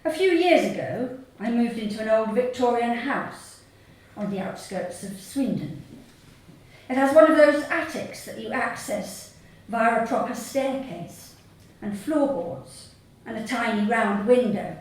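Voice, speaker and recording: A woman with a southern English accent reads her humorous short story live on stage, deadpan and measured, with pauses. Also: a little high. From Sussex, England. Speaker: author reading own short story. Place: small theatre stage before an audience. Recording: very echoey hall, distant mic, faint noise.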